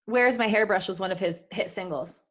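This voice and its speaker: A woman's voice: mid-range voice, brisk. A woman co-hosting a casual, joking live podcast, chatty and prone to giggling. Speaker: podcast co-host.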